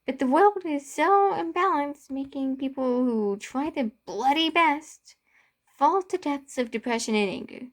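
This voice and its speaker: A woman's voice: high-pitched, animated, measured pace, voice pushed up. A woman voicing a bitter, distraught young man in a story, impassioned and protesting, with stumbles. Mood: angry, yelling.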